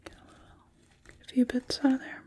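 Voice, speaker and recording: A woman softly whispering in an ASMR sci-fi roleplay as a calm, attentive medical technician, murmuring short observations to herself. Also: moderate pace. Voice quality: soft, breathy whisper.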